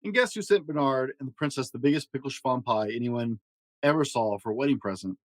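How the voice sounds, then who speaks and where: Casting: male storyteller for children's picture books, expressive read-aloud style, mid-range voice, very dry recording. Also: mid-range voice, fast, dry recording, rough recording. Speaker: storyteller and host.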